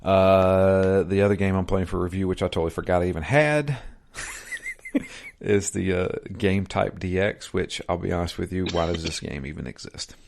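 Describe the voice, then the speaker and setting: A man's voice: deep voice, normal speed, gravelly. A man in his thirties chatting casually as a podcast co-host reviewing games. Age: 30s. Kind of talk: casual chat.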